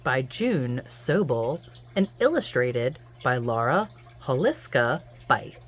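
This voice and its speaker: A woman reading a children's picture book aloud in an expressive, singsong storytelling manner. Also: rumbling low. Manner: animated, singsong, deliberate storytelling. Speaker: children's book reader and host.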